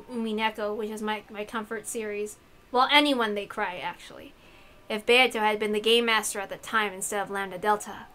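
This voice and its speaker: Bright-voiced woman reading a game, noisy recording. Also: medium pitch. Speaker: streamer reading a visual novel aloud. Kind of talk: casual commentary on a game read-aloud.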